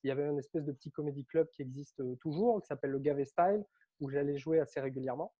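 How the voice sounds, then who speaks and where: A French man with a somewhat high yet mellow voice, talking as an interview guest. He speaks in a lively, chatty way and often uses filler words. He grew up on Réunion Island but carries no accent. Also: rapid-fire, slightly rough, muffled recording. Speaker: interview guest, author and coach. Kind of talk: casual interview storytelling.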